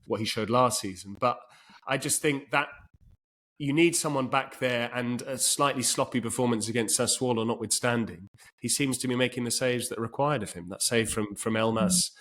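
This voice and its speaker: A man's voice: mid-range voice, normal speed, a bit bright. A man hosting a casual football podcast, thoughtful and given to restarts.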